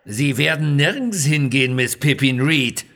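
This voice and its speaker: A man's voice: medium pitch, lively intonation, measured pace, a bit bright, voice pushed up. A man voicing a greedy, gloating villain in an audio adventure, taunting and commanding.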